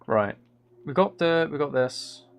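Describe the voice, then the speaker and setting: A man with a creaky voice chats casually as a live streamer while gaming, half talking to himself and half to his viewers. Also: a little high, expressive, measured pace. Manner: muttering to himself between replies to chat. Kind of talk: casual chat while playing a video game on a livestream. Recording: faint noise with keyboard clicks.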